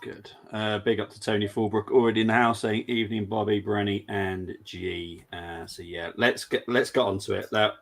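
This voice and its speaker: A man's voice: lowish voice, steady tone, moderate pace, hardly any pauses. A man hosting a football podcast, upbeat as he puts questions to his co-hosts. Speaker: podcast host.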